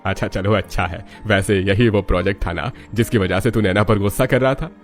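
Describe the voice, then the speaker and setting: A man voicing a kindly middle-aged father-in-law who gently chides, in a cartoon story. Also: on the low side, steady tone, fairly quick, faint music behind, lightly acted. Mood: gently chiding.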